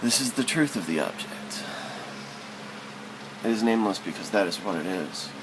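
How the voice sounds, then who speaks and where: A man's voice: on the low side, animated, normal speed, background noise. A man reading literary prose aloud as spoken word, in a musing, philosophical manner.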